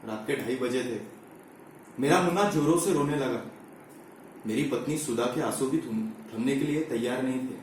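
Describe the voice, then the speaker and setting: A man's voice: medium pitch, far from the mic, a little hiss or hum, lightly acted. Man acting anguished village father, very echoey. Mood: heavy, sorrowful. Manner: halting, repetitive, emotional delivery with pleading address. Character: anguished, grief-stricken village father pleading his tragic story. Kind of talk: dramatic acting monologue. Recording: very echoey, reverberant room.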